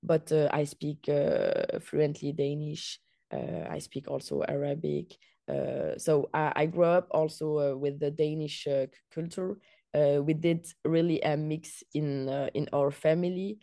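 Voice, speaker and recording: Belgian woman filmmaker, low mellow voice, halting with fillers, dry sound; interview guest. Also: gravelly, no echo. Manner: halting, many fillers and restarts. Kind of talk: interview answers.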